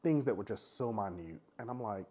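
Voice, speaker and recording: A man with a low, dark, husky voice that is raspy and congested from a cold. He talks frankly and casually, stopping often for filler sounds as he gives his review. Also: expressive, brisk. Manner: frank, chatty, many "um" fillers. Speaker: vlogger reviewing a cruise.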